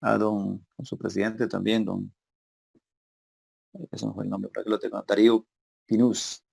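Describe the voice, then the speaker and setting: Creaky-voiced man hosting. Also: on the low side, flat delivery, unhurried, darkish tone. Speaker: event host introducing a guest speaker. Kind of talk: informal spoken introduction.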